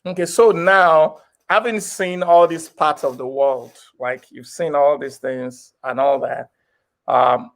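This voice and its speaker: A man, a pastor, hosting an interview with an encouraging manner, murmuring agreement often. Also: fairly high pitch. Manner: encouraging, frequent affirming murmurs and laughs. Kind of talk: casual interview chat.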